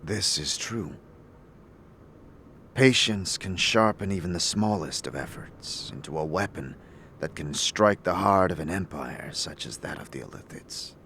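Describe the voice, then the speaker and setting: Man acting a slow, low, solemn warrior sage. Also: expressive, gravelly, bright, forceful tone, slight background noise, exaggerated voice. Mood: grave. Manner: formal, measured, hesitant. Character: solemn, reserved male warrior sage, humble loyal companion. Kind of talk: fantasy audio drama acting.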